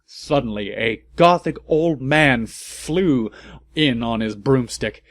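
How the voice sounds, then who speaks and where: A man's voice: medium pitch, slow, no echo. A man giving a comedic, theatrical and mock-serious reading of amateur fan fiction as its narrator. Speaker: narrator and commentator.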